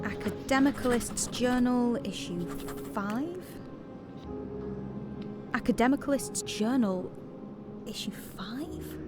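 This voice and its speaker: A woman's voice: a little high, slow, long pauses. An actress playing a thoughtful woman in a video game, musing tentatively as she reads out titles. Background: game music.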